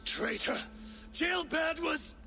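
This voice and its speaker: An actor playing a stern, battle-weary Viking warrior in a video game, solemn, terse and menacing. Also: male voice, very high-pitched, slow, over music, exaggerated voice. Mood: furious. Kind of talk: dramatic video game acting.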